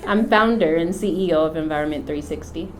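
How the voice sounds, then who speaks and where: A middle-aged woman from the United States, a company founder, speaking about her work and faith in an unhurried, reflective way with frequent pauses. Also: sits low, soft background music, low-quality recording. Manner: unhurried, thoughtful, many filler pauses. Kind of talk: reflective personal testimony.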